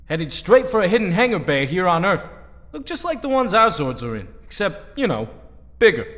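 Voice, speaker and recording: Guy playing a earnest young hero in a radio play, high voice, big reverb. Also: sing-song, moderate pace, fairly bright tone. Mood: awed. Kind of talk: audio drama acting. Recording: long hall reverb.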